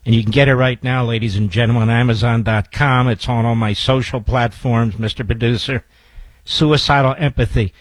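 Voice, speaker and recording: An older man hosting a talk radio show and interviewing a guest with an emphatic, animated delivery. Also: mid-range voice, brisk, no room sound, low-quality recording.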